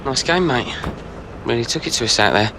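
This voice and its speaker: An actor with a British accent playing an arrogant young star footballer in a game. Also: male voice, mid-range voice, expressive, brisk, lightly acted. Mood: friendly. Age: young adult. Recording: game cutscene with loud outdoor traffic noise.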